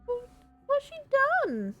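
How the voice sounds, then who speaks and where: A woman's voice: very high-pitched, huge pitch swings, takes it slowly, faint music behind. A woman streaming a game, giving casual commentary in short, reactive interjections.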